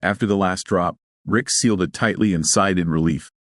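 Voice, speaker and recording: A man's voice: deep voice, moderate pace, dry recording, studio quality. A man reading scripted dramatic narration of a science fiction horror story.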